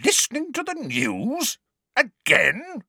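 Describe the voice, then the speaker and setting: A man voicing a furious, blustering middle-aged uncle in a croaky, snarling voice for a storybook. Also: medium pitch, wildly swooping pitch, unhurried, bright, forceful tone, no room sound, clean studio sound, pitched above the performer's own voice, full character voice. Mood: indignant.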